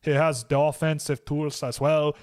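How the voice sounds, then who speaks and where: A man putting on a Finnish accent to voice an earnest male hockey scout on a video call, in a slow, measured, matter-of-fact way. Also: on the high side, little pitch movement, a little mellow, no echo, studio quality, natural voice. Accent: Finnish, put on.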